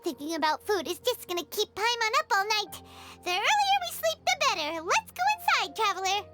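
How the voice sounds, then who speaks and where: A woman voicing an excitable, childlike fairy companion in an anime game, cheerful and exclamatory. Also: extremely high pitch, fairly quick. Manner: refers to self in third person, exclamatory. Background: background music.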